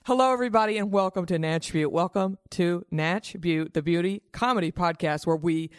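A woman's voice: on the low side, a little fast, clear, resonant tone, dry recording, clean studio sound. A woman in her thirties hosting a comedic beauty podcast in a bubbly, theatrical, playful way over a video call. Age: 30s. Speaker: podcast host.

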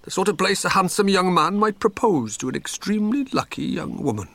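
Teasing charming minister, theatrical, highish creaky voice. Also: male voice, big pitch swings, brisk, fairly bright tone, no echo, exaggerated voice. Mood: playful, persuasive. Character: charming, playful male government minister wooing his wife.

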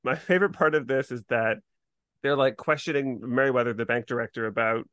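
A man's voice: medium pitch, fairly quick, a bit bright, no room sound. Casual chatty man in a dry recording. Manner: chatty, frequent "like" and hedging. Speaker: podcast co-host. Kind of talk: casual chat discussing a TV series.

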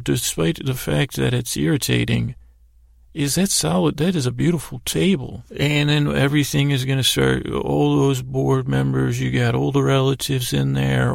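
A man with a creaky, gravelly voice hosting a bedtime podcast, telling a meandering monologue with halting, stammered, repeated words. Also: medium pitch, no echo, studio quality. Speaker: podcast host. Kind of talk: rambling bedtime sleep-story monologue.

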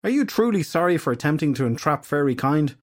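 A man's voice: fairly high pitch, sing-song, brisk, pitched above the performer's own voice. Man voicing a haughty fairy goddess. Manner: haughty, imperious. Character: fierce proud fairy goddess, woman.

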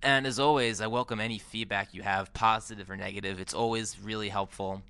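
A man's voice: lowish voice, fairly even intonation, fairly quick, pushed and bright, clear, resonant tone, no echo. An American man hosting a music podcast, talking casually as he introduces and interviews a guest. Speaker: podcast host, music journalist.

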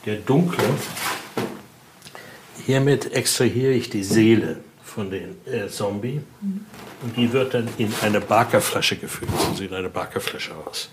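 Low-voiced man explaining informally. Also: background noise. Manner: frequent tag questions seeking agreement. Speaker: expert guide showing a collection.

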